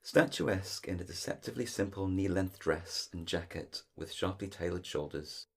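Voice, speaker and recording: A man narrating an audio drama as a measured, literary storyteller, with deliberate, descriptive delivery. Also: deep voice, creaky, husky, full character voice.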